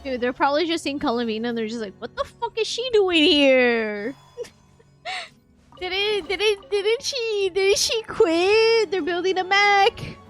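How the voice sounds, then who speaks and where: High-voiced, unhurried woman game streamer. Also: sing-song, fairly bright tone. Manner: playful, repeats words and phrases. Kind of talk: casual live reaction commentary. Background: game audio and music.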